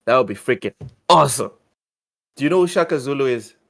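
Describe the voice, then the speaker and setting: A man co-hosting a casual gaming news show, talking excitedly and often repeating words as he thinks. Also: mid-range voice, fast talker, gravelly. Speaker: podcast co-host.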